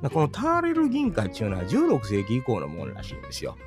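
A man's voice: mid-range voice, quick pace. Chatty Kansai man over guitar music. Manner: chatty, rambling, lively. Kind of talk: casual monologue.

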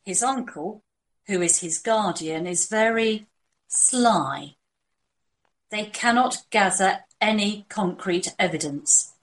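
A woman playing a calm, businesslike doctor who directs covert operations in a scripted audio drama, speaking in a serious, measured way. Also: medium pitch, natural voice.

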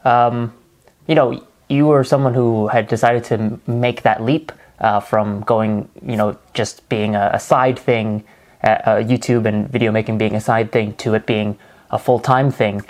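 A man hosting an interview, asking long, halting questions full of fillers and restarts. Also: on the low side, fairly even intonation, darkish tone. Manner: hesitant, many fillers and restarts. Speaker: interview host.

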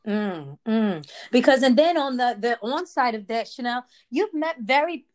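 A woman's voice: a little high, expressive, a little slow, darkish tone. A woman co-hosting a casual chat, making her points emphatically and drawing responses in a preachy call-and-response way.

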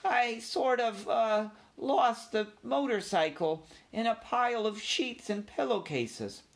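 A man's voice: extremely high pitch, normal speed, fairly bright tone, voice pushed up. A man voicing a shy, uneasy young male mouse with a hesitant manner, in a children's story. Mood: ashamed. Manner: hesitant, timid.

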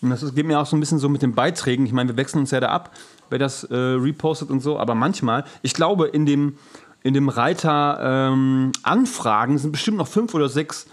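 A man's voice: medium pitch, fairly quick. A man co-hosting a podcast in casual comedic chat, with a jokey, teasing way of talking. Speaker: podcast co-host. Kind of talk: casual comedic chat, telling flat jokes.

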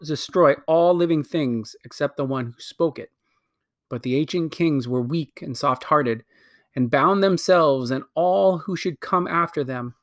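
An imperious queen, grand and merciless, as a man reads her. She speaks slowly and with great weight, as though nobody could ever refuse her. The voice sits at a middle pitch and is recorded very dry and close. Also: fairly bright tone, no echo, lightly acted.

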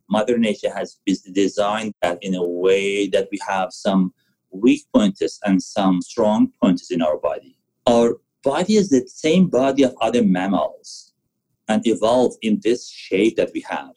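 Iranian guy in his 40s, doctor talking on a podcast, low and kinda mellow, stops and restarts a lot. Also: lively intonation, low-quality recording. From Iran. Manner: halting, restarts often, non-native phrasing. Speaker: physician guest. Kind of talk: interview answers.

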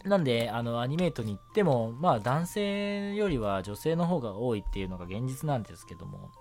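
A man's voice: medium pitch, very animated, brisk, darkish tone. A young man hosting a casual solo radio chat in a rambling, giggly, self-deprecating way. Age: young adult. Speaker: radio show host. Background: background music.

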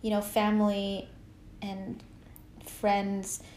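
A woman's voice: medium pitch, completely flat delivery, extremely slow, darkish tone, roomy sound, slight background noise. A woman in her thirties chatting on a podcast, thinking things through aloud. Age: 30s. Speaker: podcast co-host. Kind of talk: casual chat.

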